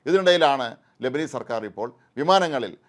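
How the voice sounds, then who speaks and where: A man's voice: mid-range voice, lively intonation, moderate pace. A man with a Malayali accent presenting a news report with commentary.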